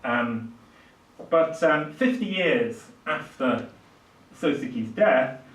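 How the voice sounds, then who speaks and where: An older man giving a public literature lecture, speaking haltingly with emphatic swells. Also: medium pitch, lively intonation, fairly bright tone. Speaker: lecturer and author introducing a book. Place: small indoor lecture room at a podium. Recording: distant, echoey small lecture room.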